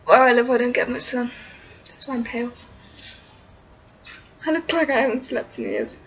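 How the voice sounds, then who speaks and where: A woman's voice: a little high, sing-song, moderate pace, slight background noise. A young English woman from Liverpool, with little of the local accent, answering viewer questions on a webcam in a small room, giggly and scatterbrained. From England, born in Liverpool. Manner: giggly, scatterbrained, talks to her cat in baby talk. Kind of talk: casual webcam Q&A chat. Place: small indoor room, seated against a wall. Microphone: webcam at arm's length. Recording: dull lo-fi webcam sound.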